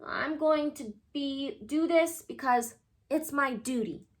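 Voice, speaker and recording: A woman's voice: sits high, slow pace. A sick Easter bunny from a storybook, voiced by a woman. He is reluctant and grumbling, yet dutiful, and talks like someone dragging himself out of bed. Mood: weary, dutiful.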